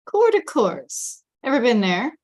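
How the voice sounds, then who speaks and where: A woman's voice: on the high side, very animated, measured pace, darkish tone, breathy edge, full character voice. A woman playing a blunt, shrewd detective in a script table read, terse and probing.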